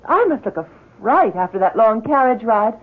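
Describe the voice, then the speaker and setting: An actress playing a vivacious, adoring young wife in an old radio drama, with gushing theatrical delivery. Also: female voice, on the high side, big pitch swings, normal speed. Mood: elated. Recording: thin lo-fi old radio broadcast.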